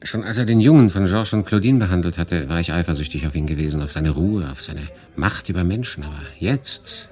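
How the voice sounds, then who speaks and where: A man acting a guarded, quietly jealous refugee who recounts his exile in a German radio drama. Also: low-pitched, brisk, hardly any pauses, faint music behind, natural voice. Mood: brooding.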